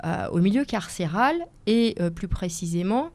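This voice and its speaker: A woman in her forties whose first language is Italian speaks as a guest on a radio interview. Her voice is mellow and soft, recorded close and dry in the studio, and she talks with frequent hesitations, fillers and careful restarts. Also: medium pitch, expressive, normal speed, no echo. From France, Seine-et-Marne. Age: 40s. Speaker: lawyer and author guest.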